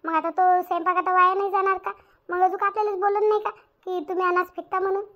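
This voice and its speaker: A woman's voice: extremely high pitch, flat delivery, fast talker, highs cut off. A woman voicing an outspoken, grumbling young daughter-in-law in a Marathi cartoon, with a rural Varhadi accent. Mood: indignant complaining. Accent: rural Maharashtra, Varhadi Marathi. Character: indignant young village daughter-in-law, outspoken, complaining, caring. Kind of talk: domestic cartoon story dialogue.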